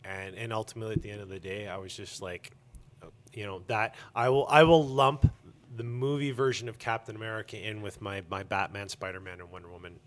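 A man's voice: on the low side, steady tone, fairly quick. A man speaking as a guest in a casual interview, candid and jokey, with emphatic and occasionally crude phrasing. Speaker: interview guest, comic book store owner.

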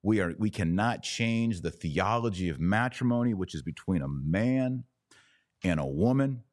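A man with an American accent and a low-pitched voice hosts a live commentary podcast. He talks straight to his viewers in an emphatic way, repeating words to drive points home. The sound is dry, with very little room in it. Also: animated, normal speed, a little mellow, dry recording. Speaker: podcast host and religious commentator.

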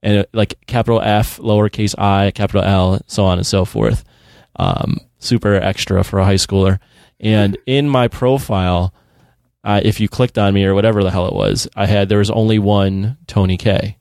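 A man in his thirties hosts a podcast in an easygoing, chatty way and laughs often. His voice sits on the low side, and the recording is dry, with no room echo. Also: steady tone, normal speed, vocal fry, a little mellow, smooth. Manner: laid-back, laughs often. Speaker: podcast host. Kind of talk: casual chat.

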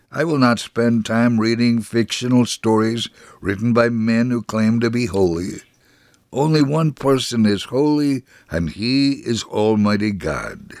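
A man giving a solemn, measured dramatic reading of a first-person devotional story. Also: medium pitch, darkish tone, dry recording, studio quality.

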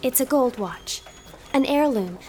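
An actress playing a devoted young newlywed wife, earnest and hesitant, in a radio drama. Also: female voice, high voice, lively intonation, measured pace, a little mellow. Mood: eager, earnest. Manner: hesitant, earnest, breathlessly eager. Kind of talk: stage-style radio drama acting.

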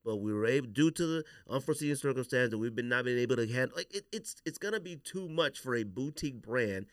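A man hosting a podcast, talking casually with slangy, opinionated, animated delivery. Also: medium pitch, quick pace, no echo, studio quality. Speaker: podcast host. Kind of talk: casual sneaker podcast debate.

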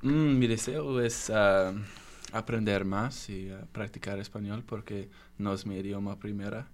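A man whose first language is English, speaking as a guest in careful, halting speech with many pauses. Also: fairly low pitch, fairly even intonation.

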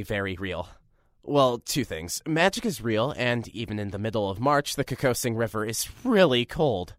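A man's voice: mid-range voice, dry recording, clean studio sound, lightly acted. An actor playing a nervous, rambling young man filling in as a radio host in an audio drama. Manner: rambling, self-deprecating, lively.

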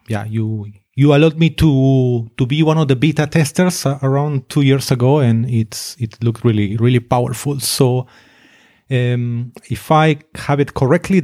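A man's voice: mid-range voice, warm tone, dry recording. Encouraging male host, dry sound. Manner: encouraging, short affirmations. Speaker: interview host. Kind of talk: casual interview talk.

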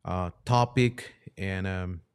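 A man with a low voice, a native speaker of Spanish, talks as a priest invited onto a podcast. His conversational commentary comes out hesitantly, in halting phrases. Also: smooth.

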